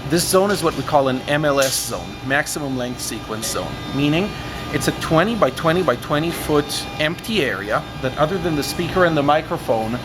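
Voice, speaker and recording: A man's voice: a little high, normal speed, music in the background. A man in his thirties giving a precise, unscripted technical explanation in a methodical way. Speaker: loudspeaker maker explaining factory testing. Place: large industrial workshop, indoors. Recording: steady ventilation and workshop machinery noise.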